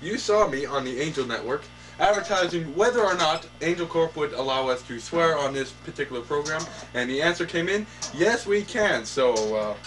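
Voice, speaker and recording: A man's voice: fairly high pitch, animated, moderate pace, fairly bright tone, soft background music. A young man hosting a mock late-night talk show, mock-formal and hesitant, full of ums and restarts. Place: small indoor set, couch and table. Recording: distant camera audio with hum.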